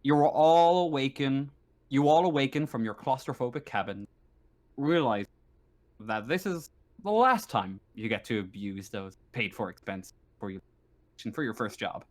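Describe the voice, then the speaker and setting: Man narrating as a halting game master. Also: mid-range voice. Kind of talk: narrating a tabletop roleplaying game.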